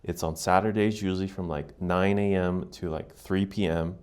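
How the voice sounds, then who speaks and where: A man's voice: sits low, steady tone, moderate pace, clean tone. An American man speaking as a podcast guest in a casual, reflective, explanatory way, often checking in with the listener. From United States. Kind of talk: casual conversational interview.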